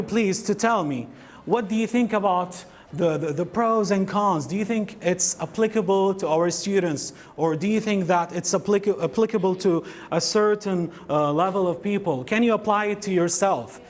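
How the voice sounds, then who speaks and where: A man's voice: sits high, moderate pace. A man presenting a workshop in a conference room, politely inviting comments and often repeating words. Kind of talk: leading a question session.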